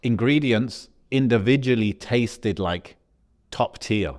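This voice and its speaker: A man from Algeria, a podcast co-host, telling a travel story in a relaxed, rambling way with slang and fillers. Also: mid-range voice, slow pace. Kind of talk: casual podcast chat.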